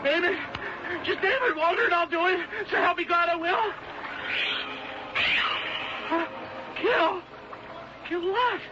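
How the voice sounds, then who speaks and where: Frantic guilt-ridden seaman, high-pitched, stammering, pleading, radio horror play, muffled noisy vintage broadcast. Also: slow pace, long pauses. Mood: desperate pleading. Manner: frantic, stammering pleas and cries. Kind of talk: old-time radio horror acting.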